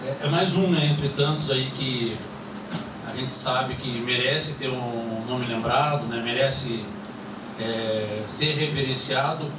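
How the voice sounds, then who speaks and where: Wordy moderator, echoey hall. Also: male voice, medium pitch, slow. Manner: wordy questions, frequent tags. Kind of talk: asking questions at a panel. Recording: echoey room, distant mic, noise.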